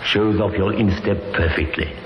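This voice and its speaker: Man playing deep-voiced suave flatterer. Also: moderate pace, a little hiss or hum, natural voice. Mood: flirtatious, admiring. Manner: smooth-talking, flirtatious. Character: suave, leering gentleman flattering his lady. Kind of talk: 1950s radio drama acting. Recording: muffled, echoey old radio recording.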